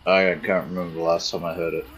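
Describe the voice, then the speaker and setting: A man's voice: low voice, flat delivery, fast, fairly bright tone, a little hiss or hum. A man hosting a live stream, chatting casually and bluntly, grumbling and swearing freely. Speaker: stream host. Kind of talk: casual chat.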